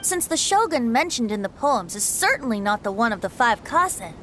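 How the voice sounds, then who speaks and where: High, expressive clever young woman. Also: a little fast, a little hiss or hum. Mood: thoughtful. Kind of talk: English video game voice acting.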